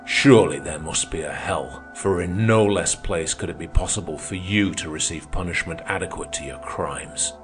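A man's voice: low-pitched, moderate pace, few pauses, natural voice. A man voicing a fiery, defiant socialist orator who denounces his opponents in a thundering, declamatory way. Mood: denouncing. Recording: music playing underneath.

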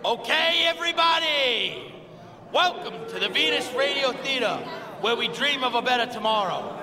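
An actor playing a crude, boastful uncle in a comic audio drama, bombastic and rambling. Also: male voice, very high-pitched, lively intonation, moderate pace, crowd noise. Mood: showy, indignant. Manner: foul-mouthed, bombastic, rambling. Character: crude, boastful, smelly uncle in theater troupe. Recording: echoey hall with audience noise.

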